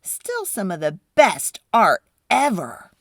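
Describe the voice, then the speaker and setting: A woman hosting a children's read-aloud, speaking with expressive, deliberate, dramatic storybook phrasing. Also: mid-range voice, dry recording, studio quality.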